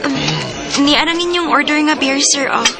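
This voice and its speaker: Woman acting a very high-voiced, timid young woman. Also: moderate pace, loud music behind the voice, lightly acted. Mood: timid, reluctant. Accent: Cebuano Filipino. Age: young adult. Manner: pleading, earnest. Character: timid, pleading young woman forced into barwork. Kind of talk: Filipino radio drama acting.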